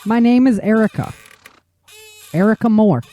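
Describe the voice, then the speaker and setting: A woman's voice: on the high side, expressive, normal speed, mellow, natural voice. A woman playing an injured, bewildered survivor in an audio drama, dazed and anxious. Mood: weary.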